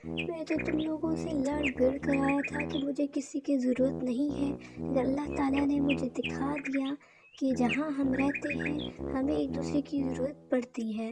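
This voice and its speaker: A woman voicing a proud male camel in a children's moral cartoon, with a dramatic, drawn-out delivery. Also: high voice, fairly even intonation, close to an everyday voice. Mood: humbled, grateful. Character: proud, selfish, lazy male camel, later humbled. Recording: loud music and birdsong behind.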